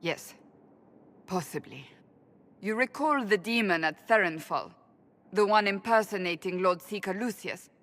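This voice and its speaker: An actress playing a stern, earnest woman warrior of a holy order in a fantasy game, speaking in a formal, deliberate way. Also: medium pitch, a bit bright, close to an everyday voice. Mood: brooding, analytical. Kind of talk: dramatic fantasy game dialogue.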